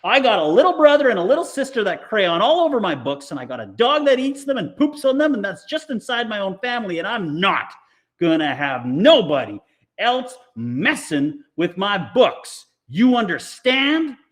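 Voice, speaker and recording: A man's voice: high voice, sing-song, moderate pace, fairly bright tone, voice pushed up. A man voicing a feisty, bossy young girl in an audiobook, with an emphatic way of talking. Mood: furious scolding. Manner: scolding, emphatic. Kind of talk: audiobook character voice.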